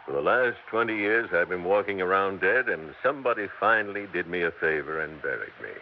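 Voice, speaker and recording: A deep-voiced, sardonic, weary salesman. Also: lively intonation, a little fast. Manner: dry, wisecracking, tired. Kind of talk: 1960s radio drama acting. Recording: dull old radio broadcast with faint hiss.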